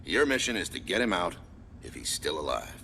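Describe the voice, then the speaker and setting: A man playing an authoritative military commander who gives mission briefings in a firm, crisp, commanding manner. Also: low-pitched, big pitch swings, a little fast, pushed and bright, slight background noise, exaggerated voice. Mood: stern, informative. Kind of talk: scripted game mission briefing.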